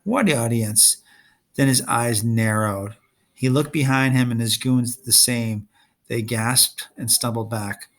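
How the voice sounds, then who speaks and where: A man reading a story aloud as an expressive narrator. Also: medium pitch, a little slow. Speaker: storybook reader.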